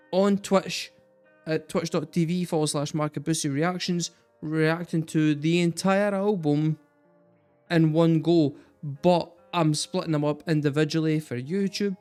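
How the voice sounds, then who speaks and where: A man's voice: on the high side, darkish tone, clear, resonant tone. A Scottish man hosting a music reaction video, chatting casually and swearing freely. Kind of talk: casual chat to camera.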